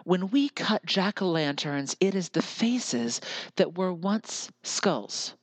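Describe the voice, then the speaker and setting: An earnest American podcaster muses alone into the mic and slips into lyrical, repeating phrases. The voice sits at a middle pitch, and the recording is very dry, with no room sound. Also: expressive, takes it slowly, dry recording. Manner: earnest, musing, drifts into lyrical repetition. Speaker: podcast host. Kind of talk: reflective solo podcast monologue.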